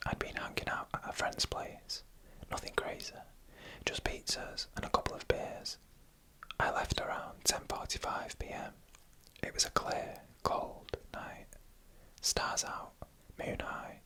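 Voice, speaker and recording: ASMR storyteller for creepy tales: fully whispered, breathy, bright, hushed and deliberate phrasing. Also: low-quality recording. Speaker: narrator reading online stories.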